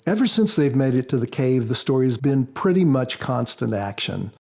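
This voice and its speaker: A man speaking as a guest author in a podcast interview, explaining in a measured, prepared style. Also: medium pitch, lively intonation, creaky, a little mellow.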